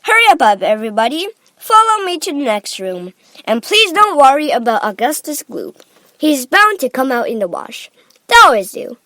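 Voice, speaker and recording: A woman voicing an excitable, showy, eccentric man who owns a chocolate factory in a storybook. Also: high-pitched, normal speed, few pauses, no room sound. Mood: brisk, cheery.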